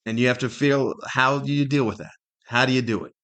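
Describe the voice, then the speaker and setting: A middle-aged man with a mid-range voice speaks alone as a podcast host. His delivery is candid and conversational, like someone thinking aloud. He pauses to find the right word and often repeats a phrase as he reflects. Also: mid-range voice, a bit bright. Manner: candid, conversational, thoughtful with searching pauses and repetition. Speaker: podcast host, stand-up comedian. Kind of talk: reflective solo podcast monologue.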